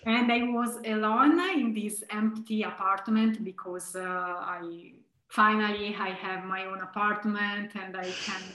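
A young woman from Italy, a philosophy scholar being interviewed, speaking thoughtfully with many filler pauses. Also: mid-range voice, level tone, pushed and bright, echoey room, poor audio. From Italy (Tuscany). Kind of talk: reflective conversational interview.

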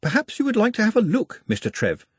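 A man's voice: sits high, huge pitch swings, fast, slightly rough, dry recording, voice pushed up, full character voice. A man doing a formal, nervous young man. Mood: deferential. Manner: formal, deferential.

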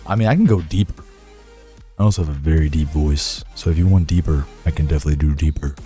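A man with a raspy, hoarse voice chatting casually with his livestream viewers, rambling, sarcastic and swearing as he reads figures aloud. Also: very deep voice, quick pace, mellow, soft background music. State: hoarse, sore voice.

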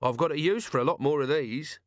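Guy doing cheeky scruffy watchman, shameless and blithe, high voice, slangy, storybook character. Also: a little fast, dry recording, studio quality, lightly acted. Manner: colloquial, blithe.

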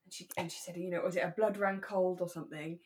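A woman's voice: lowish voice, fairly even intonation, fast, a little mellow, a little hiss or hum. A British woman co-hosting a podcast, chatting casually about a radio drama in a chatty, giggly way. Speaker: podcast co-host.

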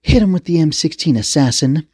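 A man's voice: mid-range voice, expressive, a little fast, warm tone, dry recording, clean studio sound, voice pushed up, natural voice. A man voicing a brash, cocky, foul-mouthed young policewoman commander in a story, barking slangy taunts. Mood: hissing, commanding.

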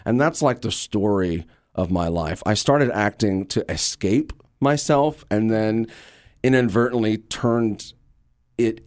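A middle-aged American man with a fairly low voice talks casually as an interview guest. He tells stories in an animated, actorly manner. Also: slow. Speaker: guest, stage actor and radio drama producer.

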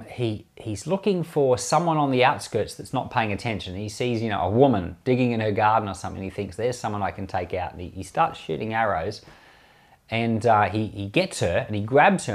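A man speaking as a pastor, giving informal Bible commentary with many restarts and closing in earnest prayer. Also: mid-range voice.

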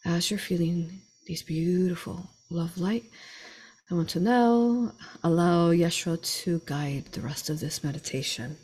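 A woman leading a slow guided meditation in a soft, breathy voice that often drops to a whisper, with long pauses. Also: on the low side. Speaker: guided meditation leader and channeler.

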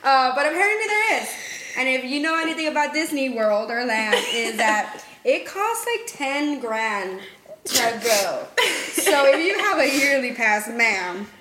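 A woman's voice: sits high, sing-song, unhurried, sharp tone. A woman in her twenties co-hosting a casual podcast with playful, teasing banter, recorded in an echoey room. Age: 20s. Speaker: podcast co-host. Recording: echoey room with faint noise.

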